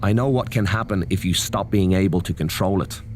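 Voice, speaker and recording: An actor playing a cautious, earnest wrestling mentor in a video game cutscene, speaking in a grave, measured way. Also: male voice, sits low, heavy background noise, close to an everyday voice. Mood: grave warning. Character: grave, cautious male wrestling mentor wary of dark power.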